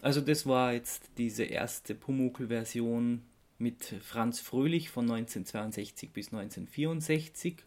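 A podcast host talks in a casual, conversational way. The voice sits at a middle pitch. The delivery is halting, with searches for the right word, and has an easily amused manner. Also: darkish tone.

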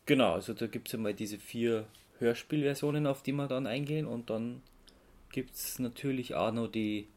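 A podcast co-host with a medium-pitched voice. The delivery is informal and conversational, explaining things in a relaxed chat between co-hosts. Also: normal speed.

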